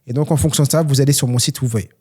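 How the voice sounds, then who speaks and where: A man's voice: mid-range voice, rapid-fire, dark tone, slightly rough. A young man from France, talking earnestly and motivationally on a solo question-and-answer podcast, with many fillers. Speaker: podcast host and trainer.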